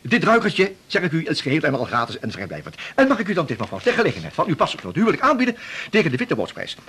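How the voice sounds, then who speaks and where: A man's voice: fairly high pitch, wildly swooping pitch, very fast, fairly bright tone, husky, exaggerated voice. An actor playing a pushy, flustered, oily door-to-door salesman in a radio drama, stammering through ingratiating sales patter. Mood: ingratiating, eager. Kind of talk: 1980s Dutch radio drama acting.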